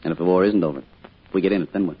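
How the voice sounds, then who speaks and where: An actor playing a middle-aged American small-town father in an old-time radio drama, gruff but kindly, with a dry, folksy manner. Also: deep voice, very fast. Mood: wry, reminiscing. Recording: dull, hissy old radio recording.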